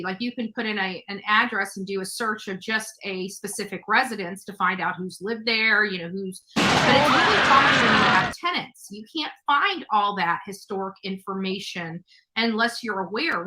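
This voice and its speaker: A woman's voice: medium pitch, bright, forceful tone, poor audio. A woman hosting a talk show, speaking conversationally in an emphatic, advising way to a guest and audience.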